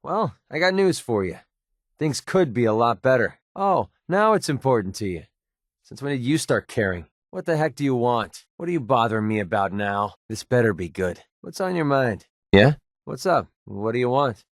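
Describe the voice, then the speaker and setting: A young mercenary for a video game, sardonic yet loyal. His voice sits at a medium pitch, with a wry, colloquial delivery. The recording is dry, with no room sound. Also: big pitch swings, moderate pace, dry recording. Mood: annoyed.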